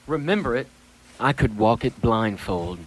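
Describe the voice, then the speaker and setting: A man playing a shaken miser confronted with his past in a radio play, halting and theatrical in delivery. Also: medium pitch, expressive, rough recording. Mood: wistful.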